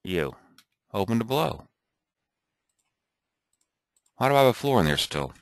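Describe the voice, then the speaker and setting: A man thinking aloud and muttering to himself as he clicks through an off-the-cuff software tutorial at his computer. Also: on the low side, big pitch swings, fast talker, no room sound. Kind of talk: off-the-cuff screen recording tutorial.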